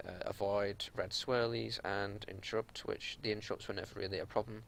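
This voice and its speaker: A man giving unscripted gameplay commentary as a raid leader, explaining tactics in a matter-of-fact way over a home recording with some low rumbling noise. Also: little pitch movement, a little fast, vocal fry, fairly bright tone.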